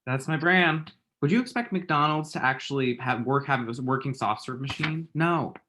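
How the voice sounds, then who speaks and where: A man's voice: medium pitch, expressive, moderate pace, pushed and bright, a little hiss or hum. A young man, played by an actor at a table read, who is lovesick and proudly messy about it. He banters in a campy, self-mocking way, in a conversational comedy style. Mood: dramatic pining. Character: Eli, lovelorn self-mocking young man, dramatic best friend. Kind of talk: conversational comedy screenplay reading.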